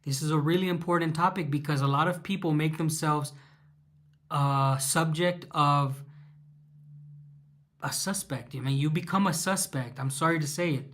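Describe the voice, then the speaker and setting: A man's voice: fairly high pitch, moderate pace, a bit bright. A man with a Mexican accent hosting a casual live Q&A, reading viewer questions aloud and answering them with fillers and restarts.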